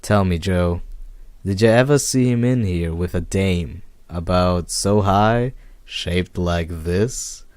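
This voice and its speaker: A man's voice: low voice, slow pace, a little mellow, no room sound. A man playing a world-weary, wisecracking private detective from a noir story, talking in a terse, dry and cynical way. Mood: probing.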